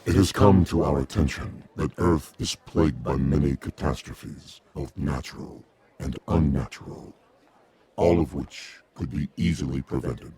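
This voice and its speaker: An actor playing a calm, courteous, inscrutable alien emissary in a radio drama, speaking formally in measured, deliberate phrases. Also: male voice, very deep voice, slightly rough, full character voice.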